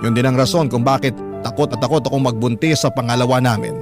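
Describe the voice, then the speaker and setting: A man's voice: medium pitch, steady tone, quick pace. A man with a Filipino accent reading a letter as dramatic, scripted radio storytelling. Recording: prominent music bed under voice. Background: music.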